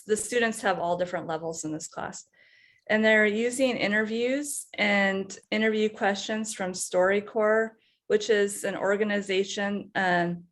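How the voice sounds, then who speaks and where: A woman college instructor giving a conference presentation. She explains a class assignment step by step. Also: mid-range voice. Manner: explanatory, walks through class materials step by step.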